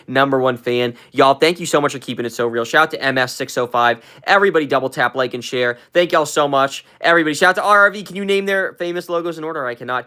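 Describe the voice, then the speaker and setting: A live stream host chatting with viewers, rambling with many fillers and repeats, and shooting way up in pitch to hype up gifts and shout out names. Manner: hyped, shouting out viewers, jumps way up in pitch when thanking for gifts.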